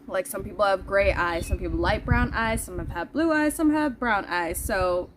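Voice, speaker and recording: A woman's voice: medium pitch, normal speed, crowd noise. A woman from the Netherlands, chatting casually and playfully to her viewers. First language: Dutch. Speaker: vlogger. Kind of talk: casual vlog chat to viewers. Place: outdoors in the heat.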